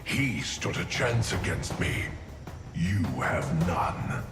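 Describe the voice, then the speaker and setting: An actor playing a menacing, grimly devoted henchman in a video game, gravelly and measured as he taunts. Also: low-pitched, expressive. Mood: grim taunting. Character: menacing male henchman enforcer, grimly devoted to his mistress. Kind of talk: video game cutscene acting. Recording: loud music under the voice.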